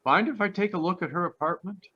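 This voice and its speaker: An actor playing a cocky, wisecracking private detective in old-time radio noir style, recorded over a dull video call. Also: male voice, high voice, fast talker, slightly rough. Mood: sardonic.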